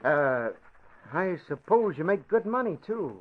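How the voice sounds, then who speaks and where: Lively, probing radio reporter. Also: male voice, high voice, measured pace, highs cut off, exaggerated voice. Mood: casually probing. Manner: casual, questioning. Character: smooth, probing male ace newspaper reporter. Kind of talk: 1940s radio acting.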